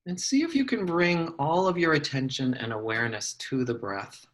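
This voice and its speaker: A man leading a guided meditation, giving gentle, measured instructions with long pauses between phrases. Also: on the high side.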